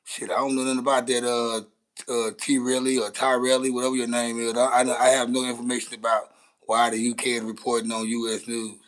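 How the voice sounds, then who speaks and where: A man's voice: mid-range voice, flat delivery, a little fast, poor audio. An American man giving casual livestream commentary, with a blunt, slangy, repetitive way of talking. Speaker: content creator reacting to news clips.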